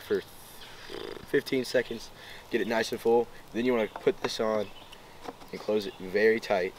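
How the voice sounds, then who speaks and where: A young man with a lowish voice casually explains how a homemade project works. He is outdoors, picked up by a camera a few metres off, with faint background noise. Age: young adult. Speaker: student demonstrating a homemade project. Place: outdoors in woods. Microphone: camera a few metres away.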